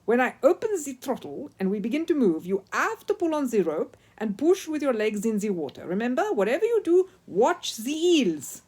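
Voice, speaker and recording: A woman voicing a weary, excitable male water-ski instructor in a storybook, with a Mediterranean accent and emphatic, drawn-out calls. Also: fairly high pitch, breathy edge, voice pushed up. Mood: instructing.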